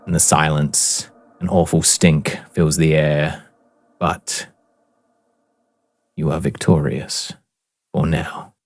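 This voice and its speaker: A man narrating a tabletop fantasy game as game master, in a measured, descriptive, dramatic storytelling manner. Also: very deep voice, level tone, vocal fry, no room sound. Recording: music behind the voice.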